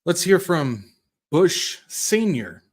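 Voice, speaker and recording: A man's voice: fairly high pitch, very animated, takes it slowly, a little hiss or hum. A man hosting a commentary show, musing aloud with rhetorical questions and repeating points for emphasis. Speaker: host. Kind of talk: conspiracy commentary over played clips.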